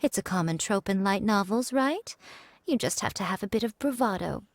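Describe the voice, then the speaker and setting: A woman voicing a sly, teasing shrine priestess in a video game, with an unhurried way of talking. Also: medium pitch, expressive, dry recording, lightly acted.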